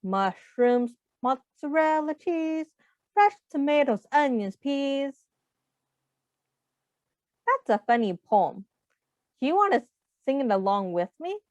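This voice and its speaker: A woman reading a picture book aloud to young children in an expressive, teacherly way. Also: sits high, slow pace, pauses often. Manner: expressive, asks the listeners questions. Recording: muffled, echoey room sound.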